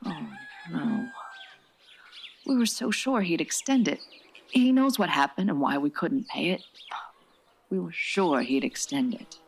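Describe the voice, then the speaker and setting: An actress playing a hospitable, folksy frontier farm wife, plainspoken and steady, in a radio western parody. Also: female voice, fairly low pitch, a little slow, pauses often, a little hiss or hum. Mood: dismayed, resigned.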